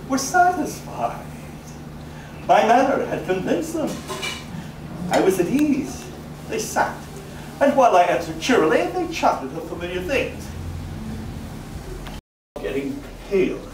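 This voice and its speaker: Gleeful mad murderer, wildly pitched. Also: male voice, big pitch swings, distant mic, full character voice. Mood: triumphant, uneasy. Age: older. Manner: melodramatic, emphatic, deliberate phrasing with sudden swells. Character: gleeful mad murderer, male, boastful, cunning, nervy. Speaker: actor giving a dramatic reading. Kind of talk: theatrical live recitation of gothic horror monologue. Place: small brick-walled cafe room, indoors. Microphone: camera a few metres away. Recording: echoey, noisy handheld camera from audience.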